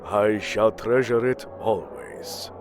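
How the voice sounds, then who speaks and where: A man's voice: lowish voice, fairly even intonation, slow, over music. A man playing a proud, commanding king of the gods in an audio drama, with a regal, formal and imperious manner. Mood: measured, gracious.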